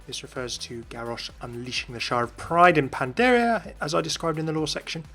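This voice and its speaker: A man's voice: a little high, lively intonation, a little fast, sharp tone. A man giving explanatory commentary as the host of a gaming video. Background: music.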